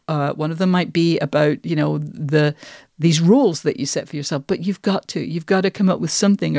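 A woman's voice: sits low, creaky, darkish tone, no room sound. A woman speaks as a podcast host in a conversational, reflective way. Her phrasing is articulate and considered. The recording is dry, with very little room sound. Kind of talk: conversational discussion of writing process.

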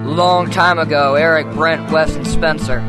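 A man's voice: fairly high pitch, fairly even intonation, measured pace. A man giving a theatrical amateur rock parody performance, telling a story in dramatic talk and song. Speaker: amateur parody singer, listener contributor. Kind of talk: spoken intro and sung acoustic rock parody tribute. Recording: loud acoustic guitar music. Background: acoustic guitar.

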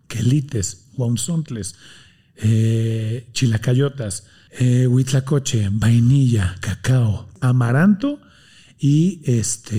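A Mexican man talks with passion and speed as an interview guest. His voice is warm and mellow, with a husky edge to it. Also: medium pitch. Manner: passionate, fast and animated, acts out quotes. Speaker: guest, healthy food entrepreneur. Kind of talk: casual interview conversation.

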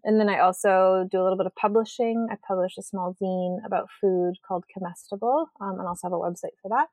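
A woman's voice: mid-range voice, fairly even intonation, fast, darkish tone, no echo. A woman from Western Washington, a writer and artist, talking casually as an interview guest, with lots of ums and rambling asides. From Western Washington, USA.

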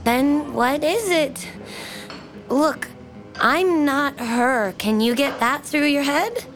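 A woman playing a warm, flirty, persistent diner waitress in a naturalistic audio drama, with a folksy, coaxing way of talking. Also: high voice, takes it slowly, faint music behind. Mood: hurt, insistent.

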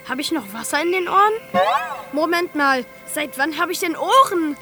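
A woman's voice: high voice, animated, moderate pace, over music. A woman voicing a naive, polite little train in a children's audio drama, speaking in a childlike, wondering way. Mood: bewildered.

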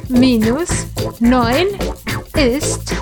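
A woman teaching German to learners, speaking clearly and patiently and pausing so the listener can repeat. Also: high voice, over music. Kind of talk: slow, clear language lesson with repetition drills.

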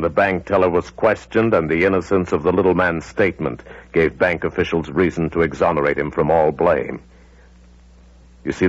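A man's voice: low-pitched, no echo. A man narrating a 1940s radio drama in a suspenseful style that builds to urgent intensity, on a muffled old broadcast.

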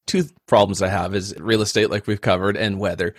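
A man's voice: lowish voice, fairly even intonation, a little fast, no room sound, studio quality. A man from Canada, a finance blogger, talking in an easygoing, self-deprecating way in a casual panel discussion. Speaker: personal finance blogger and podcast guest.

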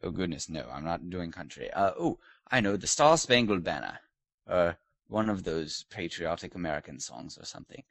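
A man's voice: low voice, big pitch swings, moderate pace, creaky, husky, dry recording, heavily acted. A man playing a pompous British lord who hosts a comedic podcast interview, in a put-on British accent with a mock-posh, teasing manner. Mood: haughty, mock-posh. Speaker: host.

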